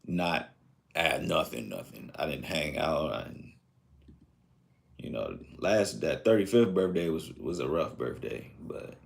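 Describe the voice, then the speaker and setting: A man's voice: extremely low pitch, expressive, unhurried, gravelly. A man in his thirties chatting casually on a live stream, rambling and reflective, with lots of fillers. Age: 30s.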